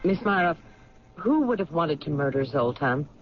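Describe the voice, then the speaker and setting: An actor playing a questioning police lieutenant in a 1970s radio drama. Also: male voice, on the high side, big pitch swings, moderate pace. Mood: probing. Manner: probing, questioning. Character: probing male police lieutenant. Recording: dull lo-fi 1970s radio recording.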